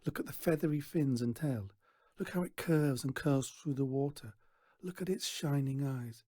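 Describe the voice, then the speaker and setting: A man's voice: mid-range voice, normal speed, dry recording, clean studio sound, lightly acted. A man voicing a small, earnest, polite young boy in a storybook reading. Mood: tender. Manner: soft, earnest, hesitant.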